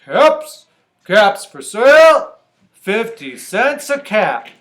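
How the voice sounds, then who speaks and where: A man playing an exasperated, demanding cap peddler in a picture-book read-aloud, emphatic and loud. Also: sits high, very animated, very slow, voice pushed up, full character voice. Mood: calling out. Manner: emphatic, scolding, shouted.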